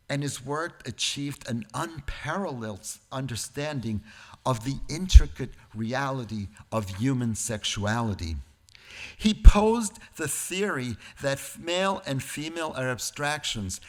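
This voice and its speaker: Man giving a deliberate, wry church talk. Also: mid-range voice. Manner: deliberate, phrase by phrase, with wry asides. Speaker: guest speaker at a church service. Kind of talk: prepared lecture-style sermon with informal asides.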